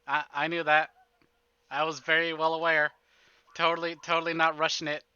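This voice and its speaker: A man's voice: fairly high pitch, completely flat delivery, moderate pace, sharp tone, clear, resonant tone, dry recording, lo-fi sound. A man hosting a casual livestream, chatting to viewers in a playful, rambling, exclamatory way and often repeating himself. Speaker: livestream host, gamer.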